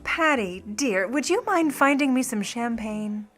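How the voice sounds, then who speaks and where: Sly 1950 Hollywood starlet-thief , female actor, higher-pitched, condescending, syrup over steel, music underneath. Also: expressive, unhurried, a bit bright. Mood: cool, cutting. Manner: arch, syrupy politeness. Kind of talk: 1950 noir radio-play acting.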